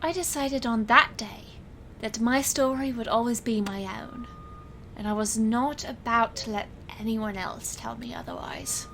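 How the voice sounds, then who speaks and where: Woman playing intense magician heroine telling her story, theatrical and earnest, sharp bright voice, bit of noise behind. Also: a little high, poor audio. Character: dramatic stage illusionist with magic powers, proud and intense heroine. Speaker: narrator and lead character. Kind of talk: scripted first-person audio drama narration.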